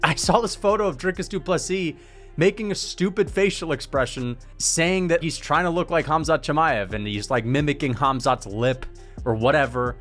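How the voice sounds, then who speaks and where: Animated young-sounding MMA pundit. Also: male voice, on the high side, moderate pace, soft background music. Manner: animated, loose, swears freely. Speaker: MMA commentator. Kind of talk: casual opinion commentary.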